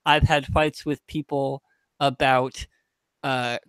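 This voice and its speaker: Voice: mid-range voice, level tone, takes it slowly, a bit bright, clean tone, no echo, poor audio. A young adult podcast panelist speaking casually, frankly and emphatically in a group discussion.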